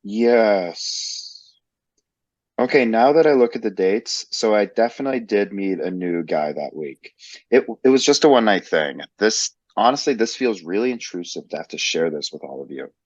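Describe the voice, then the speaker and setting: A hesitant, uneasy man, as an actor plays him answers a health interviewer with reluctance. His voice sits on the low side. The sound is dry and a little dull, with no room echo. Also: lively intonation, moderate pace. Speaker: interviewee in a training role-play.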